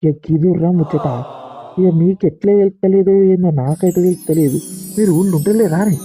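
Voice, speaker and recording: Man playing a high-voiced bossy Telangana village wife. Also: fairly quick, warm tone, faint music behind, natural voice. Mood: gossipy, emphatic. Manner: emphatic, complaining, earthy. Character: know-it-all village wife, bossy gossip. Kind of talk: comic village gossip dialogue in a cartoon. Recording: dull, lo-fi cartoon soundtrack.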